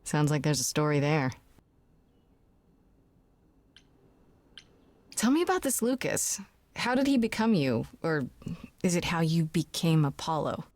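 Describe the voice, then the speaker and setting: An actress playing a young heroine in a video game, blunt and probing, talking in a direct, conversational way. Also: female voice, deep voice, sing-song, normal speed, darkish tone, no room sound. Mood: curious. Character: young woman Grace, blunt, probing, guarded newcomer heroine. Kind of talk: video game dialogue acting.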